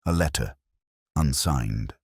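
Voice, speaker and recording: Very deep-voiced brooding male narrator. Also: expressive, breathy edge, no room sound, clean studio sound. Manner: measured, brooding, deliberate pauses. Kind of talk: first-person detective story narration.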